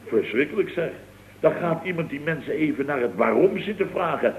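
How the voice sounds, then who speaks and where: A man's voice: on the high side, animated, a little fast, lightly acted. A man acting an exasperated, sarcastic company boss in an old radio comedy, grumbling emphatically. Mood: sarcastic indignation. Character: blustering, exasperated, sarcastic male boss of a building firm. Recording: dull old radio recording.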